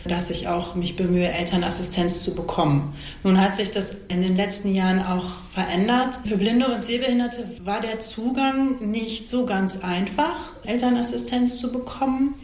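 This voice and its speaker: A woman's voice: medium pitch, a little mellow. A woman in her forties giving conversational answers as an interview guest. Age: 40s. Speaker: interview guest, social worker. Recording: echoey room, faint background noise.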